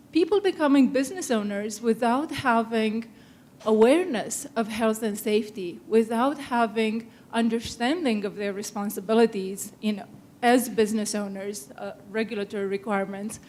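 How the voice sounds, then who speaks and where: A woman, a safety researcher, making earnest, measured remarks on a panel and laying out her points as lists. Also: a little high, darkish tone, slight background noise. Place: conference room panel.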